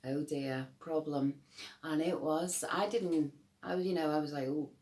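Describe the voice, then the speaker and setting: A low-pitched woman in middle age with a strong Scouse accent. She talks to the camera in a rambling, emphatic way, often breaking off mid-sentence and starting again. Her voice carries the hollow echo of a bare room. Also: fairly even intonation, a little slow, darkish tone. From Liverpool, England. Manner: rambling, emphatic, many restarts and fillers. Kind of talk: personal testimony to camera.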